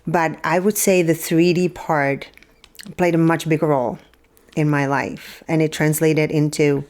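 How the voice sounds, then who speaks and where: Low-voiced woman telling stories. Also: steady tone, measured pace, a little mellow. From Czech Republic. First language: Czech. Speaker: guest. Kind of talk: podcast interview.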